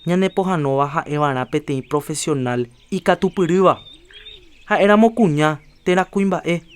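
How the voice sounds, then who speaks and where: A man's voice: fairly high pitch, normal speed, clean tone, lightly acted. A man playing an earnest health promoter. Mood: rousing. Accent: Paraguayan. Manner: earnest, persuasive, lecturing. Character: earnest adult man urging health checkups. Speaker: Rafael, a community health promoter. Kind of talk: educational radio drama acting.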